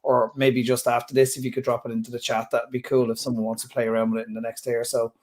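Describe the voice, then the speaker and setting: A man's voice: medium pitch, level tone, fast. A man in his thirties hosting a webinar Q&A from a home office, chatty and enthusiastic, often stumbling over words. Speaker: user group host. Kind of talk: casual webinar Q&A moderating.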